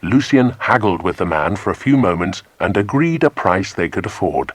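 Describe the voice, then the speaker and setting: A man's voice: low-pitched, very animated, normal speed, no echo. A man narrating a children's adventure story from a script as an audiobook.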